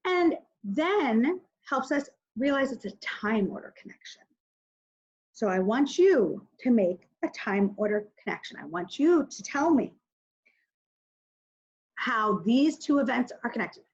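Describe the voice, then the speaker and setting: Kindergarten teacher lady doing a reading lesson for little kids, super up and down voice, slow, kinda muffled lo-fi audio. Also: fairly high pitch, lively intonation. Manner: simple, instructive, addresses children directly with questions and pauses to think aloud. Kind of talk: recorded reading mini-lesson for young children.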